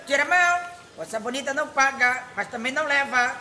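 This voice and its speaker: An actor voicing a cheeky pet parrot in a radio farce, squawking stock phrases in a shrill voice. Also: a little fast, slight background noise. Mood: bossy squawking. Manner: shouting repeated stock phrases. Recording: roomy, echoing radio play recording.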